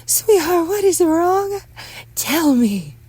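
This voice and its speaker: Woman playing an anxious, smothering mother, high-pitched. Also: very animated, slow pace, darkish tone, dry recording, exaggerated voice. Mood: concerned. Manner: doting, insistent, emotionally intense. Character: anxious, smothering, sugary mother with controlling edge. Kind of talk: dramatic script reading.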